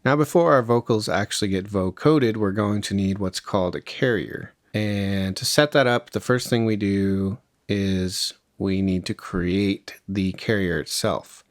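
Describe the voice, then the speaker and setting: A man's voice: lowish voice, measured pace, few pauses, no echo, clean studio sound. A man presenting a music production tutorial in a casual, conversational, step-by-step way. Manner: informal, conversational instruction.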